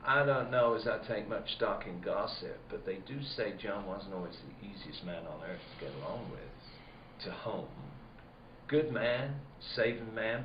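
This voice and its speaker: An actor playing a middle-aged rural sheriff in a radio play, speaking a folksy rustic dialect in a deliberate, roundabout way. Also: male voice, on the low side. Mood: insinuating. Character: slow-talking rural sheriff, folksy, probing and insinuating. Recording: dull, roomy, faint background noise.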